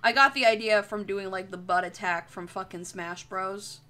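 A woman's voice: mid-range voice, moderate pace. A young woman streamer chatting casually while she draws, rambling and jokey with plenty of swearing. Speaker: streamer, artist. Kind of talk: casual livestream chat while drawing.

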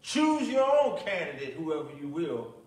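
A man's voice: fairly high pitch, very animated, measured pace. Echoey middle-aged male pastor at a pulpit. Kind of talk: sermon with prayer and commentary. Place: small, nearly empty church, at a pulpit indoors. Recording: echoey church, distant microphone.

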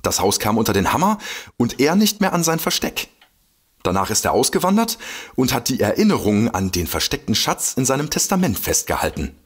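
An actor playing an excitable, boastful detective with a husky voice in a comedy mystery audio drama. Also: male voice, mid-range voice, a little fast. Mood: eager, insistent. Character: excitable, boastful male detective eager to explain. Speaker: amateur detective.

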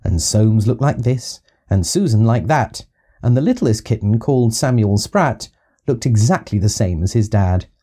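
A man's voice: fairly low pitch, expressive, normal speed, warm tone, husky. A man narrating a children's audiobook, reading rhyming verse rhythmically and expressively. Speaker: storyteller reading to young children.

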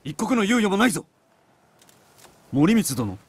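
A man's voice: sits high, big pitch swings, unhurried, slightly rough, no room sound, exaggerated voice. An actor playing a duty-bound samurai swordsman in a game, speaking in terse, formal, determined lines. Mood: urgent. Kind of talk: dramatic cinematic game acting in archaic samurai speech.

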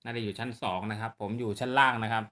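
Casting: man, very bright forceful voice, polite casual gear demonstrator who checks in with viewers. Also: fairly low pitch, level tone, racing. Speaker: presenter of radio gear demo on his own video channel. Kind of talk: casual hands-on product demonstration.

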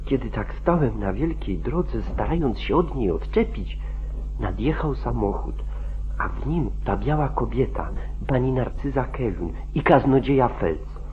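A man's voice: medium pitch, expressive, a little slow, natural voice. Man acting a frightened, pleading young farmhand, phone-like sound. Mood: agitated, explaining. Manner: pleading, repetitive, protesting. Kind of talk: old radio drama acting. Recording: muffled, narrow-band 1940s radio recording.

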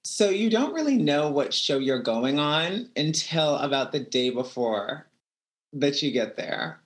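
A woman's voice: low-pitched, expressive, a little slow. Reflective woman answering on a video call. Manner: reflective, laughs between thoughts. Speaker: reality show contestant. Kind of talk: remote interview answers. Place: home room.

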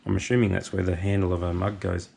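An Australian man, hoarse from a cold, chatting casually through a workshop how-to while he works on metal. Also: low voice, fast. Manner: relaxed, chatty, thinks aloud with fillers. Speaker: host. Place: home workshop shed. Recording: noisy workshop with tool clatter.

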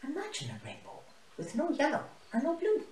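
A woman's voice: high voice, huge pitch swings, brisk, darkish tone, a little hiss or hum, pitched above the performer's own voice. A woman voicing a friendly, delighted lioness in a storybook, emphatic and encouraging. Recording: roomy, mic some distance away.

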